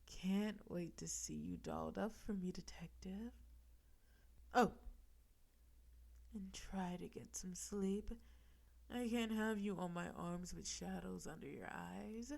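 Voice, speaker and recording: Casting: actress as female crime boss for noir audio roleplay. Slow, gravelly, seductive, smugly teasing, with breathy near-whispers. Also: mid-range voice, darkish tone. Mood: smug, flirty. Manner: sultry, breathy teasing, often dropping toward a whisper. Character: flirty, teasing woman mafia boss, smug and seductive. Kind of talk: scripted audio roleplay in film noir cliches.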